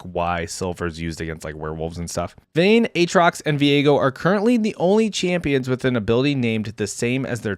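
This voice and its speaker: A man's voice: medium pitch, dry recording, studio quality. A man reading game lore aloud for a reaction video, casually breaking off to think aloud in conversational asides. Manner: conversational, thinks aloud between read passages.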